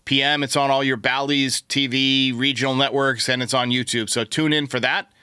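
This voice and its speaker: A man's voice: medium pitch, little pitch movement, normal speed, pushed and bright, smooth, no room sound, studio quality. A man hosting a sports show, giving conversational baseball commentary to his listeners. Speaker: sports podcast host.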